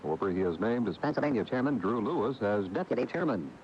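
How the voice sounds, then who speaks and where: A man's voice: on the low side, very animated, fast talker, slight background noise. An American man reading scripted news as a radio reporter. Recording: dull 1980 AM radio tape.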